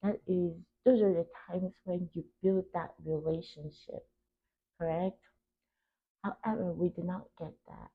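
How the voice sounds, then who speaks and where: The sound is narrow and phone-like. A young woman speaks in a fairly low, creaky voice. She hosts in a reflective, personal way, earnest and emphatic, breaking her thoughts into short phrases. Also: steady tone, a little slow. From Cameroon. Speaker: podcast host. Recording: tinny phone-like narrow band.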